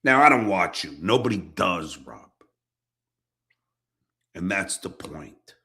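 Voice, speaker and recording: A man hosting an online show delivers an angry, profane rant at a rival, confrontational and emphatic, with dramatic pauses. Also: low-pitched, expressive, pushed and bright. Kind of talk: angry personal rant addressed to an absent rival.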